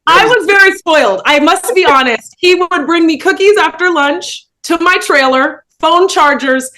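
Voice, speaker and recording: A woman's voice: very high voice, moderate pace, slight background noise, low-quality recording. A woman, an actress, chatting animatedly about her work in a casual interview over a video call. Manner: animated, laughing storyteller.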